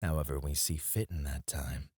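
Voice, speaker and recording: A man's voice: very deep voice, fairly even intonation, normal speed, darkish tone, husky, no echo, clean studio sound. A man playing a cocky, flirty royal alchemist in an intimate fantasy ASMR roleplay, teasing and goading a rival with sardonic mock politeness. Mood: sly, flirtatious.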